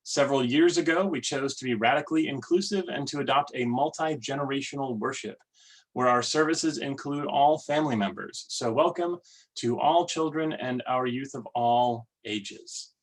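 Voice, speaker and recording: A man leading worship, giving welcomes and readings in a measured, deliberate way. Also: mid-range voice, poor audio. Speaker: worship leader. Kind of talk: church service welcome and readings.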